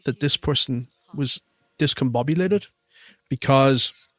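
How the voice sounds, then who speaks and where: A man with a Cork Irish accent sits in on a relaxed film commentary, telling rambling stories and breaking into laughter. His voice sits at a middling pitch. The recording is dry and close. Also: a little slow, dry recording. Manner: chatty anecdotal storytelling, laughs often. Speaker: podcast co-host. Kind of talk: casual film commentary chat.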